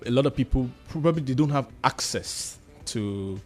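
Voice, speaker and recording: A man with a Nigerian accent speaking in a radio discussion, halting and reflective, often repeating phrases for emphasis. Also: mid-range voice, expressive, darkish tone, soft background music. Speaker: discussion panelist.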